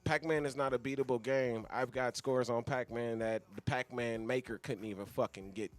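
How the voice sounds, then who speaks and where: A man's voice: medium pitch, fairly even intonation, moderate pace, soft background music. A man talking casually as a guest on a talk show, blunt and slangy, with a lot of swearing. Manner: blunt, heavily profane, slangy. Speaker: guest, avid gamer.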